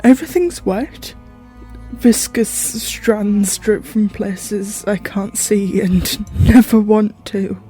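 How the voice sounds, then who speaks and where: A horror fiction narrator speaking in a measured, musing, slightly formal and theatrical way, addressing the listener directly. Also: mid-range voice, warm tone. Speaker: narrator and host of an audio horror fiction show. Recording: music behind the voice. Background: background music.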